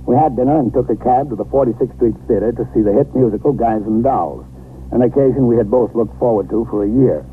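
Steady, authoritative American precinct captain played by a man, 1950s radio police drama, narrow noisy recording. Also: mid-range voice, quick pace, close to an everyday voice. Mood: measured, matter-of-fact. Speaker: narrator and lead actor.

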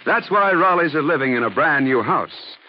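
A man's voice: fairly high pitch, expressive, normal speed. A man announcing a scripted 1940s radio commercial with a polished, emphatic sales delivery. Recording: muffled, noisy 1940s radio recording.

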